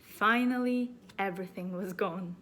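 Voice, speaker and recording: A woman's voice: medium pitch, measured pace, a bit bright. A woman teacher reading a children's storybook aloud expressively to young pupils. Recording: faint background noise.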